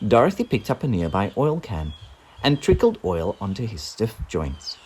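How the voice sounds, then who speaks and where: A man narrating a children's storybook in an expressive, dramatic way. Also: on the low side, normal speed, slightly rough. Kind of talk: children's storybook read-aloud.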